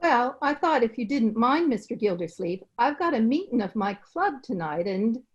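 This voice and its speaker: Woman as a folksy household cook, lively higher voice. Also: normal speed, highs cut off. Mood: coaxing. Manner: folksy, colloquial, plain-spoken. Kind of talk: old-time radio comedy acting.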